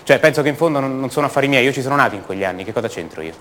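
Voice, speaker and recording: A man's voice: medium pitch, animated, fairly bright tone, a little hiss or hum, natural voice. An actor playing a restless, defensive young man from a privileged family in a radio monologue, hesitating and repeating words. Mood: defensive, bitter. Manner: hesitant, halting, repeats words, rhetorical tags. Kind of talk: theatrical monologue, radio drama.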